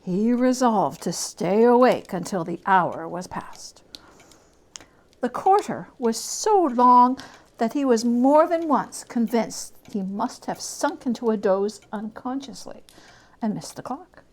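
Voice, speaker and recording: A woman giving a dramatic reading of a classic story, theatrical, lingering on words and dropping into hushed tones. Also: on the high side, expressive, slow. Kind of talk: dramatic reading of literary narration.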